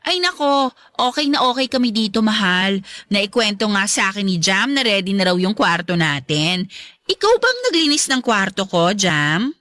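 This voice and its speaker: A woman's voice: medium pitch, sing-song, normal speed, bright, forceful tone, no room sound, clean studio sound. A woman playing a haughty young stepmother in a Filipino radio drama, curt and sarcastic, syrupy when she feigns affection. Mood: falsely sweet. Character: haughty, hostile young stepmother, sweet only to her husband.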